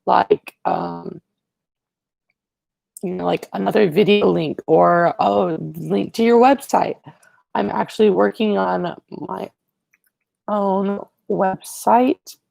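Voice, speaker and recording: A teenage boy hosting a casual livestream, rambling and correcting himself as he chats to viewers, his voice raspy today. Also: high-pitched, expressive, takes it slowly, long pauses, a little mellow, no room sound. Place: living room, indoors. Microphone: earbud headset mic, webcam.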